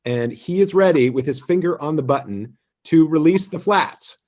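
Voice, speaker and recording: A man hosting a live theater company show on a video call, speaking appreciatively in a halting way and making announcements. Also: fairly high pitch, lively intonation, darkish tone, dull audio.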